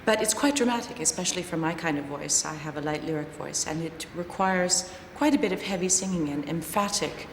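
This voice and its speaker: A woman opera singer speaks about her part in an interview, choosing her words with care. Her speaking voice sits slightly low. The sound carries the long echo of a hall, with faint noise behind. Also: a little fast, few pauses. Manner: thoughtful, articulate.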